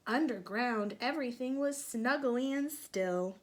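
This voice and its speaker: A woman reading a picture book aloud to young children, gently asking them questions and sometimes dropping to a soft whisper. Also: on the high side, a little slow.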